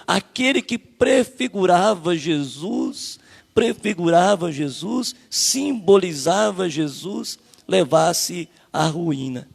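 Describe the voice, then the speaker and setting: A man's voice: on the high side, vocal fry. A man with a Brazilian accent preaching a Bible lesson, emphatic and repetitive, with rhetorical questions, in a reverberant hall. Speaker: church preacher leading the class.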